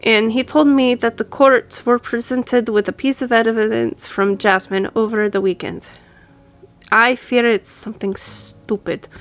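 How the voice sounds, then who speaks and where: Woman playing a brisk, higher-voiced female office boss. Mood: concerned, businesslike. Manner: businesslike, conversational, lightly teasing. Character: brisk, friendly female law firm boss giving instructions. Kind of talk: audio roleplay acting, addressed to the listener.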